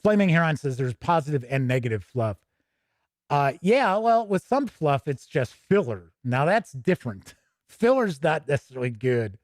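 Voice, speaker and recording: A man's voice: a little high, big pitch swings, normal speed, no echo, clean studio sound. A man hosting a tabletop gaming show, chatting casually with asides as he reads aloud and comments on a livestream.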